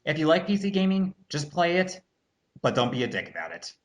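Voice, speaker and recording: A man's voice: fairly high pitch, sing-song, fairly quick. A man chatting casually as a co-host, agreeable and somewhat hesitant in the way he talks. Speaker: online commentary co-host. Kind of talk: casual chat.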